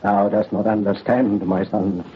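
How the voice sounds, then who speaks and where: Husky, doomed noble father. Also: lowish voice, flat delivery, a little slow. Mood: solemn urging. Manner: grave, declamatory, archaic theatrical speech. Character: proud, doomed aristocrat father, solemn and resolute. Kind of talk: old-time radio horror drama acting. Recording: muffled old radio recording.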